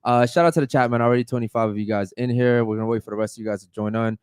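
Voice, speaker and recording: A relaxed man hosting a podcast from the studio, loose and casual, cursing off-hand as he goes. His voice sits at an ordinary pitch. It comes through close and dry, with no room sound. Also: racing, smooth, no echo. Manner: loose, laid-back, swears casually. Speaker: podcast host. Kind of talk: casual chat. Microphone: studio mic.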